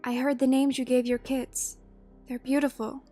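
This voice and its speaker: Storybook healer she-cat, read by a woman: subdued and hushed, faint music behind. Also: high-pitched, level tone, moderate pace. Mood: somber. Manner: quiet and subdued. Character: female healer cat, calm and sorrowful.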